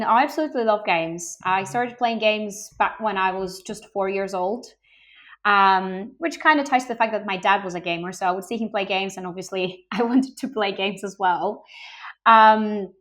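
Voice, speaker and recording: A woman's voice: mid-range voice, fairly bright tone. A young woman, born in Bulgaria and raised in Greece, answering interview questions as a game industry recruiter in an open, enthusiastic way with frequent ums.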